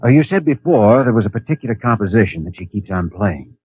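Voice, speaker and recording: An actor playing a calm, reassuring young hospital doctor in a 1950s radio drama. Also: male voice, on the low side, fast talker, breathy edge, no room sound. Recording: tinny old radio recording with music.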